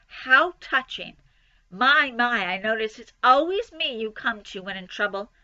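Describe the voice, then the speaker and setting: A woman's voice: a little high, lively intonation, unhurried, sharp tone, no room sound, highs cut off, heavily acted. A woman voicing a grumpy, sarcastic male rat in a storybook reading, mocking and self-pitying. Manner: sneering, mocking, grumbling.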